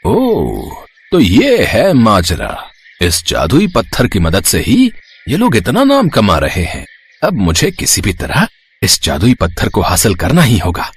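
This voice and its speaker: An actor voicing a greedy, scheming eatery owner in a cartoon moral story, husky and exaggerated as he gloats. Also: lowish voice, huge pitch swings, a little slow, slight background noise, full character voice.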